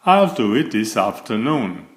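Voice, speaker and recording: Young storybook turtle, played by a male reader: earnest, childlike, eager to please, animated and unhurried. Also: fairly low pitch.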